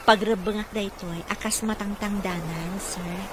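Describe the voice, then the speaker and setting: A woman's voice: mid-range voice, fairly even intonation, normal speed, noisy background, close to an everyday voice. A woman playing a kindly, dutiful mother and caregiver in an Ilocano radio comedy-drama, speaking in a respectful, motherly way. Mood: reassuring, earnest.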